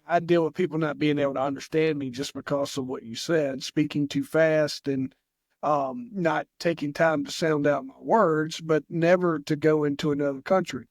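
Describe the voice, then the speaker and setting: Male host, Southwest Virginia accent, higher voice, dry sound, loose rambling delivery. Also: dry recording. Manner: rambling, many fillers and restarts. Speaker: podcast host. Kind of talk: conversational interview.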